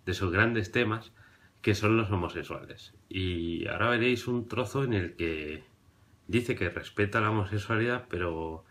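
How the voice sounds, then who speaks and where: A man in his thirties from Barcelona, commenting on video clips in a dry, ironic way, with hesitant fillers and pauses, recorded on a webcam indoors. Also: fairly low pitch, fairly bright tone. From Barcelona, Spain. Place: indoor room before a printed backdrop.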